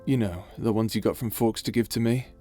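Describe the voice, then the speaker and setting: A man playing a tender, weary space pirate in an intimate ASMR roleplay, speaking softly and hesitantly over music. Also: fairly low pitch, a little fast, close to an everyday voice. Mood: tender, uneasy. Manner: soft, hesitant, confiding.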